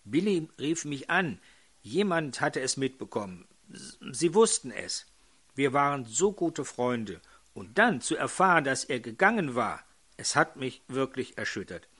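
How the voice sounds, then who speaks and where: An older man preaching a sermon in a hoarse voice, putting questions to his congregation. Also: mid-range voice, big pitch swings, a little slow, a bit bright.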